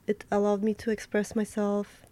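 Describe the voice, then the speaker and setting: A young woman from Turkey, an artist answering interview questions in a thoughtful, halting way, with many fillers and restarts. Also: medium pitch, monotone, mellow.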